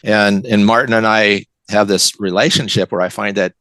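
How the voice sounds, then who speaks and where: A man's voice: on the low side, fairly even intonation, moderate pace, sharp tone, no echo. An older American man, a writer from the West Coast, giving enthusiastic, reflective answers as an interview guest. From West Coast of the United States. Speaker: guest, novelist and college teacher.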